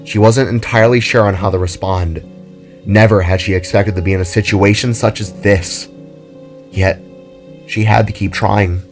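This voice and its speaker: A man's voice: fairly low pitch, fairly even intonation, normal speed. A man narrating a dramatic scripted story as its storyteller. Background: music.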